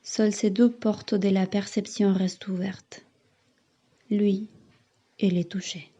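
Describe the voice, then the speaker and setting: Very mellow, slow-speaking woman guiding meditation. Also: medium pitch, little pitch movement, slightly rough. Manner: soothing, measured instruction with long pauses. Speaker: yoga teacher leading guided relaxation. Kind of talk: guided meditation.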